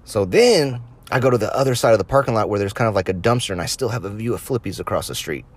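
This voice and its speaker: A man's voice: lowish voice, lively intonation, fast talker, breathy edge. A man around thirty hosting a podcast, telling anecdotes casually with crude swearing and amusement at his own stories. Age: late 20s to early 30s. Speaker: podcast host. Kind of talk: casual anecdotal storytelling to listeners.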